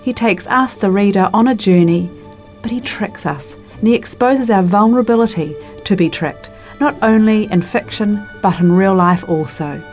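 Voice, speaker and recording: A woman's voice: medium pitch, normal speed, a little mellow, faint music behind. A woman hosting an author interview, chatty and effusive, trailing off and leaning on tag questions. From New Zealand. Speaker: podcast host and interviewer. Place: home interview.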